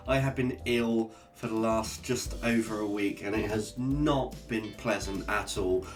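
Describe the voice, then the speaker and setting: A man hosting a casual drawing vlog, chatty and jokey as he sketches and talks. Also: mid-range voice. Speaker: art channel host. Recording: guitar music playing underneath. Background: guitar music.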